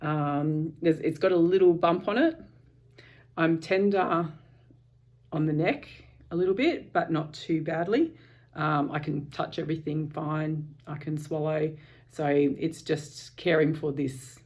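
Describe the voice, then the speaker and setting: A woman talking to camera at home in a casual personal vlog, calm and candid, with frequent pauses and restarts. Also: sits low. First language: English. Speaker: vlogger.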